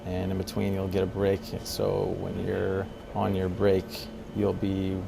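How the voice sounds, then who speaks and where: Very gravelly, deep-voiced man. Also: completely flat delivery, a little slow. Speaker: air traffic controller. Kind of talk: casual interview answers about own job. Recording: clear ambient background noise.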